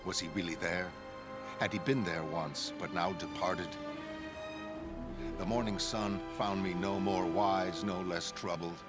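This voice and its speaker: A man playing an anguished, grave shipwrecked sailor who narrates a pirate horror tale in solemn, measured, literary phrases. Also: low voice, steady tone. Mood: grim, mournful. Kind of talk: brooding first-person audiobook narration. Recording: music under the voice.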